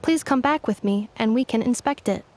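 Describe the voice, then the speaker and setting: A woman voicing a calm, polite robot girl in a video game, speaking in formal, precise, computer-like phrases. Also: a little high, moderate pace, slight background noise, lightly acted. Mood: apologetic.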